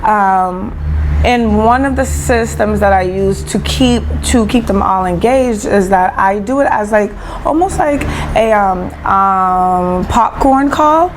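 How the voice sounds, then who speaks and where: A woman's voice: mid-range voice, takes it slowly, noisy background. A woman explaining things as a teacher in an interview, often repeating syllables and words mid-sentence. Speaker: early-childhood teacher being interviewed.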